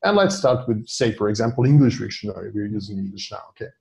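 A man's voice: on the low side, fast, creaky, a little mellow. A man, a data scientist walking an online meeting through a dashboard on a shared screen, with many fillers and restarts. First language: Serbian. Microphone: video call.

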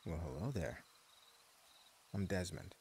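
A deep, gravelly-voiced gang leader of the wasteland, smug and cruel, who taunts his captives in a mock-friendly drawl, as a man acts him out in an audio roleplay. Faint crickets and night ambience hum behind him. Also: lively intonation, a little slow, exaggerated voice. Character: menacing male post-apocalypse gang leader, smug and cruel. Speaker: voice actor.

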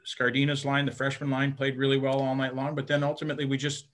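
A man's voice: medium pitch, completely flat delivery, a bit bright. Middle-aged male coach, frank and plain-spoken, stumbles and repeats words, postgame video call. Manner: halting, repeats words, many fillers. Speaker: head hockey coach. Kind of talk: postgame press conference answers. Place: indoor room in front of team backdrop. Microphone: webcam on video call.

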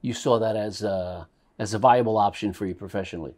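A man's voice: lowish voice, fairly quick, a little mellow. A man interviewing a guest, asking short questions and offering brief encouraging replies.

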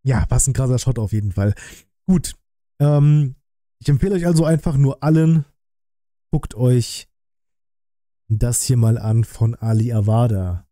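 Guy in his 30s, streamer chatting casually, really mellow dark tone, dry room close mic. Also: medium pitch, animated, a little slow, pauses often, no echo. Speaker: streamer hosting a reaction stream. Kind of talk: casual livestream chat. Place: small indoor home streaming room. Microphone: close desk microphone on an arm.

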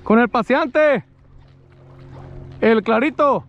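A man's voice: very high-pitched, very slow, lo-fi sound, a little hiss or hum. A man in his thirties with a Mexican accent, chatting casually and colloquially with some swearing as he fishes outdoors on a lake shore. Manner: colloquial, swearing, repeats phrases. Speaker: angler filming his fishing outing. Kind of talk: casual fishing vlog chat.

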